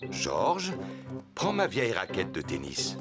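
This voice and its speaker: Un acteur à l'français joue un papa cochon de dessin animé, jovial et patient, pour les enfants. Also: male voice, deep voice, huge pitch swings, slow, exaggerated voice. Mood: cheerful. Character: jolly adult male cartoon pig, patient father. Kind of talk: children's cartoon dubbing. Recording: music behind the voice.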